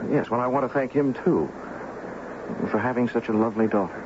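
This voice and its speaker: An actor playing an earnest, adventurous young oil heir with intense, expressive 1970s radio drama delivery. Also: male voice, medium pitch, fairly quick, noisy background, natural voice. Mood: friendly, curious. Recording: dull 1970s radio recording with music.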